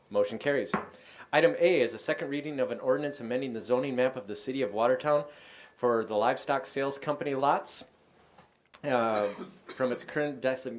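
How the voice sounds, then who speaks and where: An American man speaking as a mayor, running formal council procedure in a brisk, businesslike way. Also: mid-range voice, a little hiss or hum. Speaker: mayor chairing a city council meeting.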